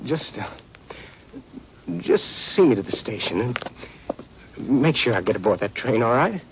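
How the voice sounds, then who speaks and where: A man's voice: medium pitch, sing-song, measured pace. An actor playing a frightened, pushy, whining man in an old-time radio western, with an American accent and an agitated, insistent delivery. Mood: pleading, impatient. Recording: faintly noisy vintage radio recording.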